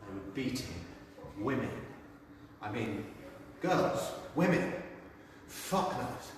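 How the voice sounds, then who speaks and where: An actor playing a rowdy young football fan who swears freely and brags about street fights. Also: male voice, fairly high pitch, a little hiss or hum. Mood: shaken. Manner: profane, boastful storytelling. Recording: echoey hall from far off.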